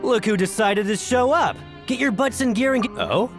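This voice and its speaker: A man's voice: very high voice, normal speed. A man voicing a bossy, impatient factory overseer who gives brusque orders in a video game. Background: game music.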